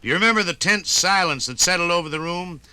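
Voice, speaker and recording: Casting: male American vintage radio narrator, rather high and very forceful, dramatic, wistful storytelling. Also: quick pace, clean tone, dry recording, poor audio. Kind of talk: nostalgic 1950s radio narration. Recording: 1950s radio recording.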